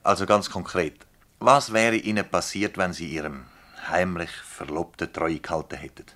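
An actor playing a probing, impatient public prosecutor in a Swiss German dialect radio play, questioning in a formal, insistent way. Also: male voice, sits low, fairly even intonation, normal speed, fairly bright tone. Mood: impatient, pressing.

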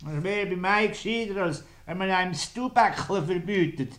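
Querulous male farmer, forever aggrieved, notably high-pitched, in vintage radio comedy. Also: normal speed, fairly bright tone. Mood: sulky, defiant. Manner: complaining, querulous. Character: grumbling, aggrieved male peasant from the countryside. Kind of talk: 1950s radio comedy acting.

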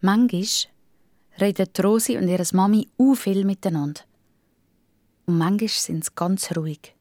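A woman with a Swiss German accent narrating a children's story in simple, deliberate phrases. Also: medium pitch, dark tone.

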